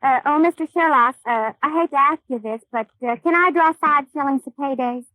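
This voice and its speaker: A woman's voice: sits high, fairly quick, sharp tone, no room sound, rough recording. A woman playing a cheeky housemaid in a 1930s radio comedy, delivering wisecracks matter-of-factly. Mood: wry.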